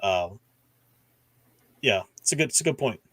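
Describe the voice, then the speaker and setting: A man's voice: lowish voice, lively intonation, normal speed, a bit bright, slightly rough, dry recording. Man hosting with a very dry, close sound. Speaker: livestream host. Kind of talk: casual book discussion, reading viewer comments.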